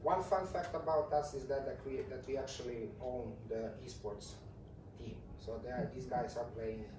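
A man's voice: medium pitch, fairly even intonation, normal speed. Croatian-accented man presenting in a roomy space. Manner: informal, repeats words, non-native phrasing. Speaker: conference speaker, tech company CEO. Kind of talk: conference presentation. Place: conference talk room. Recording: roomy, distant mic with faint noise.

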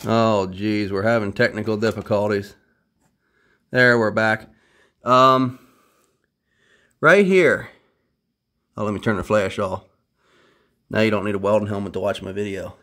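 A man's voice: mid-range voice, a bit bright. Guy rambling in his garage about an old trike, dry self-mocking humor, phone cam up close. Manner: chatty, wry self-deprecating jokes. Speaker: vintage three-wheeler restorer. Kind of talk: casual workshop show-and-tell. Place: garage workshop.

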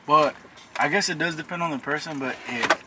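A man's voice: on the high side, fairly quick. A young man chatting casually and profanely with friends in a car. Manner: profane, slangy. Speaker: vlog co-host. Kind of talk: casual slangy chat. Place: inside a car. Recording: noisy car, slightly distant mic.

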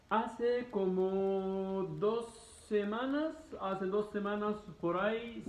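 Higher-voiced Egyptian man in an echoey room. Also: darkish tone, a little hiss or hum. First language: Arabic. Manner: emphatic, repeats himself, halting foreign-speaker phrasing. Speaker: vlogger answering students' questions. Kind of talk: casual explanatory talk to camera. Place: living room, indoors. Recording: roomy echo, dull muffled sound.

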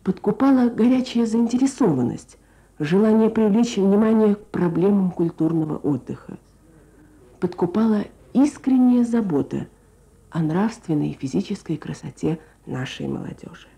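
A woman journalist presenting a scripted Soviet radio programme in a measured, lyrical, formal manner. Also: medium pitch, lively intonation, gravelly, warm tone. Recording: archival Soviet radio broadcast.

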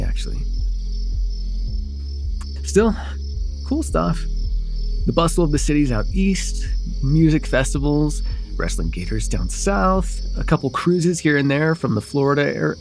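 Young male vampire, acted by a man, charming, casual rambling, animated pitch, faint music behind, audio roleplay. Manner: casual, rambling, hesitant asides. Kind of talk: immersive ASMR roleplay, casual chat.

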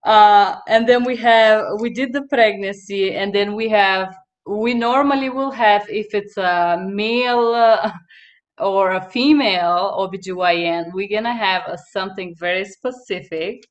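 Young woman, Bulgarian native speaker, unhurried instructor reading terms on a headset call. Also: medium pitch, dull audio. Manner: slow, repeats each term twice. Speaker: interpreting instructor. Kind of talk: terminology drill on a video call. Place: small room indoors.

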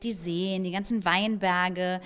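A woman's voice: on the low side, fairly even intonation, takes it slowly, fairly bright tone, faint music behind. A woman in her thirties, an actress raised bilingual in German and Italian, chatting in an interview at an event with fillers and restarts. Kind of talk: casual interview chat. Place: indoor event room, standing by a small table. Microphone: handheld mic held by interviewer.

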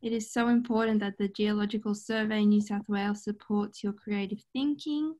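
A woman's voice: medium pitch, steady tone, a little fast, darkish tone. A woman moderating a webinar on a video call, reading out audience questions and comments.

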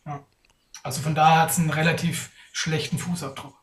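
A man's voice: on the high side, level tone, brisk, fairly bright tone, far from the mic, slight background noise. A young man answering audience questions on a live video call, thoughtful, with many hesitations. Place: indoors in front of a sponsor backdrop. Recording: roomy, off-mic sound.

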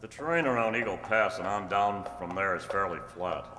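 A man's voice: sits low, fairly quick, music in the background, close to an everyday voice. An older man playing a resolute, earnest masked cowboy hero in a staged old-time radio drama. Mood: grave, thoughtful. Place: stage in a hall. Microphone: stand microphone. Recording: echoey hall, noisy room ambience.

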